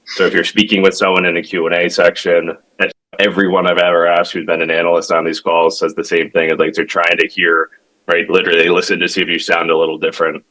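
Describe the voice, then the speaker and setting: Tech founder, male, deep but crisp-edged voice, walking through a product informally, halting and conversational. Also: monotone, bright, forceful tone, rough recording. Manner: halting, self-correcting, conversational, checks in with "right?". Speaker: tech company founder demonstrating his product. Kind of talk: informal product demo explanation.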